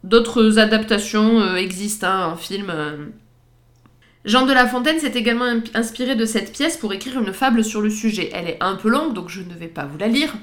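A woman's voice: mid-range voice, moderate pace, fairly bright tone. A woman co-hosting a podcast, chatting casually through pop-culture references with frequent fillers and easy laughs. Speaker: podcast co-host.

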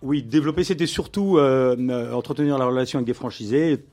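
A middle-aged man, a business owner, being interviewed and telling his career story in a relaxed conversational way, with frequent fillers and restarts. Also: mid-range voice, a little fast. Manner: hesitant, many fillers and restarts, livens up when amused.

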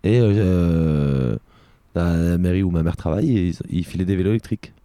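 A man's voice: low voice, normal speed, mellow, no room sound. A young man co-hosting a casual radio chat, joking and hesitant, with lots of fillers. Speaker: co-host.

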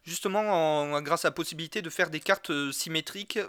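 Male, high sharp voice, geeky enthusiast co-hosting a casual gaming podcast. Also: fairly quick, clean tone. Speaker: co-host of a video game podcast.

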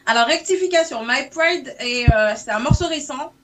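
A woman's voice: sits high, animated, moderate pace, a bit bright. A woman from Saint-Martin in the Caribbean, a native English speaker, talking casually as a singer being interviewed and feeling her way through broken phrases. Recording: noisy recording with clicks.

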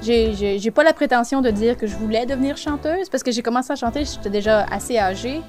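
A woman in her thirties with a Québécois French accent, chatting as an interview guest and pausing often with fillers and restarts. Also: a little high, darkish tone, soft background music. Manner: hesitant, lots of fillers and restarts. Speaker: guest singer and voice actor. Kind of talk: casual interview chat.

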